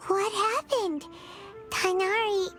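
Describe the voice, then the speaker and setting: A woman's voice: extremely high pitch, takes it slowly, a bit bright, faint music behind, exaggerated voice. A woman voicing a tiny, chirpy female fairy companion in a video game, bouncy and exclamatory. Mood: curious.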